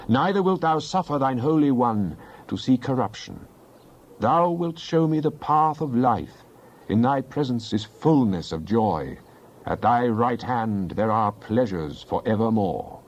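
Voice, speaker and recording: A man playing a devout psalmist in a dramatized Bible reading, praying in an earnest, deliberate, declamatory way. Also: medium pitch, very animated, lo-fi sound. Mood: devout, trusting. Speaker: scripture reader.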